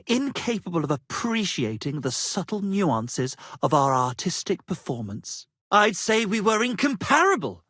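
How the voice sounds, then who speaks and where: Theatrical, pompous minstrel, swooping pitch. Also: male voice, sing-song, unhurried, hardly any pauses, bright, forceful tone, dry recording, clean studio sound, exaggerated voice. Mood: haughty. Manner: florid, grandiose, declamatory, swinging between rapture and despair. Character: pompous, flattering, melodramatic aspiring medieval minstrel, self-styled artist. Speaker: video game voice actor. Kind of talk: theatrical video game character acting.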